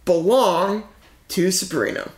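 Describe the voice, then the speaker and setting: Male podcast co-host, gravelly, rambling filler-heavy storyteller, swears, echoey room. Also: high-pitched, slow. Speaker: podcast co-host telling a true-crime case. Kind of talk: casual true-crime podcast chat.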